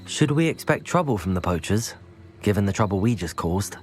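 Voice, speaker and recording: An actor playing a bold, dry-witted teenage student adventurer in a video game, with a measured manner. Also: deep voice, sing-song, faint music behind. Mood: curious, wry. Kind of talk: video game cutscene acting.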